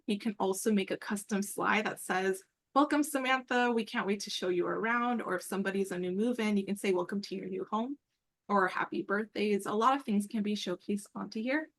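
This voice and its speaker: A woman's voice: mid-range voice, brisk, fairly bright tone. A woman giving an informal, upbeat, explanatory walkthrough as the presenter on a work call. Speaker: meeting presenter and program coordinator. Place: online group call.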